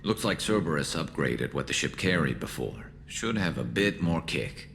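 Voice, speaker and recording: A man voicing a battle-scarred alien soldier in a video game, loyal and dryly wry, with a gravelly voice. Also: expressive, normal speed, fairly bright tone, heavily acted. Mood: dry, steady. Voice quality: gravelly, very deep. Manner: dry, wry, clipped. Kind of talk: video game dramatic acting.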